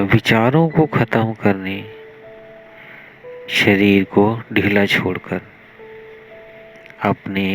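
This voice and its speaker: Slow, low-voiced Indian man guiding meditation. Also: fairly bright tone, poor audio. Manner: measured, instructional, with long pauses between short phrases. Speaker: guided meditation teacher. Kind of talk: slow guided meditation instruction. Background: music.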